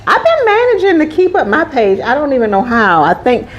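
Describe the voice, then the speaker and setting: Lively, emphatic woman co-host. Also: high voice, a little fast, lo-fi sound, slight background noise. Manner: emphatic, repeats phrases, says "child" and "girl". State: lingering congestion. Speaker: podcast co-host. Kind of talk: casual chat.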